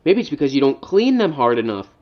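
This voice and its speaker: A man's voice: mid-range voice, big pitch swings, quick pace, highs cut off, natural voice. A man voicing a rude, boastful, grumpy tank engine in a cartoon, insulting and sneering as he talks.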